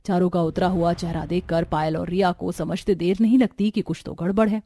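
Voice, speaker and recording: A woman's voice: fairly low pitch, steady tone, brisk, mellow. A woman giving scripted narration of a simple cartoon family story.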